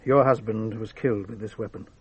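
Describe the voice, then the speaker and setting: A man acting in a radio drama as an earnest police officer, brisk, polite and matter-of-fact. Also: lowish voice, fairly even intonation, husky.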